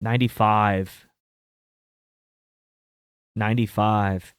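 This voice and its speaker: A man's voice: fairly low pitch, fairly even intonation, extremely slow, smooth, no room sound, clean studio sound. A man around thirty hosting a sports betting show, talking in a rambling, casual way with many fillers and some mild swearing. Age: 30s. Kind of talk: casual chat.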